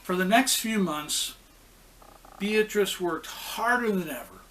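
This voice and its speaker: A man with a slightly high voice reads a children's storybook aloud. He goes very slowly and deliberately, pausing for expression. There is faint noise behind him. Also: animated, a bit bright, low-quality recording. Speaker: pastor reading a children's story.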